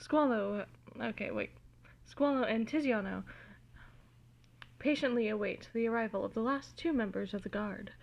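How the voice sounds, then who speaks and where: A woman giving an amateur, theatrical and giggly dramatic reading of fan fiction, recorded in low quality on a phone. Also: normal speed, vocal fry, long pauses, lo-fi sound. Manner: giggly, theatrical, easily cracking up.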